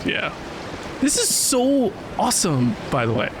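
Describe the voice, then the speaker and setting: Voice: mid-range voice, huge pitch swings, measured pace, background noise. A game streamer, chatting informally and casually during a livestream. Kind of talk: casual livestream chat while gaming. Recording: game music behind the voice.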